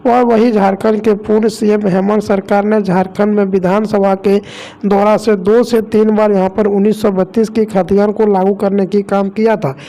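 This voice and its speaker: Creaky, monotone female news narrator. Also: medium pitch, moderate pace. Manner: repetitive, formulaic announcing. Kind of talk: scripted news narration for online video.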